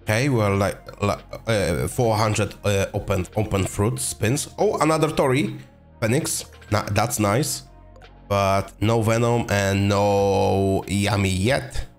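A young man with a voice hoarse from being sick, chatting casually through a game video and getting excited over rare drops. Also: on the low side, takes it slowly, faint music behind. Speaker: gaming video host. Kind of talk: casual gameplay commentary.

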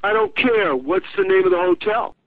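A man's voice: high voice, lively intonation, normal speed, very noisy. A blunt, insistent man making a prank phone call in short clipped demands. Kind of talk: prank phone call of repeated canned clips. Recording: thin lo-fi telephone clips.

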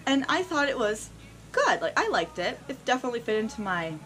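A young woman vlog host telling a personal story casually and unscripted, with theatrical emphasis and dramatic pauses. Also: a little high, big pitch swings, fairly bright tone. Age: young adult. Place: small indoor room lined with shelves.

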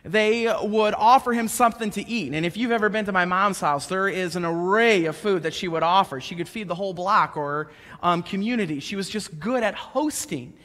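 A man in his thirties preaching a sermon on a church stage, conversational and animated as he tells personal stories. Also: high-pitched. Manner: conversational and animated, gesturing while pacing the stage. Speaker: pastor. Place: church stage, large indoor room. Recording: reverberant church hall.

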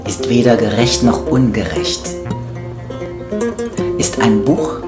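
A man recites poetic spoken word very slowly, leaving theatrical pauses. His voice rings in a roomy kitchen, with music clearly playing behind him. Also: medium pitch, lively intonation. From France. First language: French. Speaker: author and spoken word artist presenting own book. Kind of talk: poetic spoken word book trailer monologue. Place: small home kitchen, indoors.

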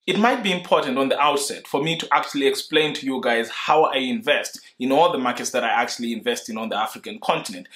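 A young man from Zimbabwe, explaining his investing approach to camera in an earnest, measured way, seated indoors. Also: mid-range voice, a bit bright. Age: young adult. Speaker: investing YouTuber. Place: indoor room in front of a leather sofa.

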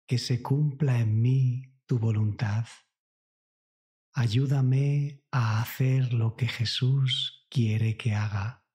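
A man reading a scripted devotional meditation in a solemn, measured, reverent way. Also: mid-range voice, dark tone. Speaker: narrator of a guided prayer meditation. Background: music.

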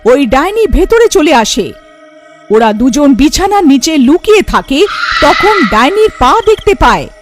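A woman's voice: high-pitched, very animated, a little slow, over music. A woman narrator delivering scripted storytelling for a horror cartoon.

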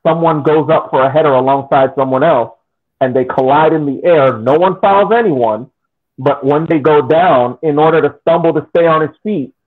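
A man co-hosting a soccer podcast over a video call, debating casually and argumentatively with hypothetical questions. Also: on the high side. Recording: thin phone-like call audio.

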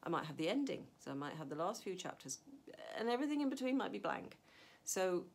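A woman's voice: fairly low pitch, very animated, fast talker, gravelly. A middle-aged woman novelist talking to camera from her home study, chatty and thinking aloud with fillers and restarts. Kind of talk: casual advice talk to camera. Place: home study with a map on the wall.

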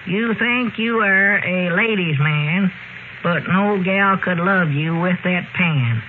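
High-voiced old country fellow, old radio. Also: male voice, slow. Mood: teasing. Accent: rural Southern US. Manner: folksy, rambling, giggly. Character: excitable, teasing old country storekeeper. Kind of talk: 1930s-40s radio comedy acting. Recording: scratchy, narrow-band old radio recording.

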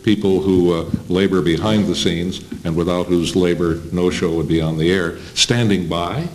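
A man's voice: low voice, moderate pace. An American man, middle-aged or older, putting questions to a guest in a conversational panel interview. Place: indoor room, panel table.

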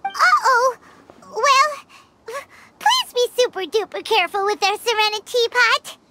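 A woman voicing a tiny, excitable, cheeky fairy companion in a video game, squeaky and childlike, with cutesy exclamations. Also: a little slow, loud music behind the voice, exaggerated voice. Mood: anxious. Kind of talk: scripted video game dialogue.

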